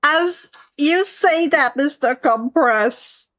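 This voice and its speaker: A high-pitched woman's voice, slow and measured, in a dry recording. She narrates a tabletop game as the game master, describing each scene. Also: a bit bright, no room sound.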